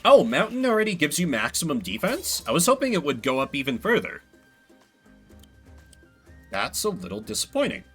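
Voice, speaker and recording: A man's voice: on the high side, huge pitch swings, moderate pace, pauses often, husky, soft background music. A man giving casual, wry solo commentary as he streams a video game.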